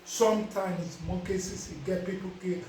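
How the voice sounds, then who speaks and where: A man's voice: high voice, steady tone, normal speed, a little mellow, far from the mic, slight background noise. Nigerian man in a very echoey space. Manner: preachy, repeats "you understand?". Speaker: vlogger giving life advice. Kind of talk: casual advice monologue. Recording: very echoey, voice away from the mic.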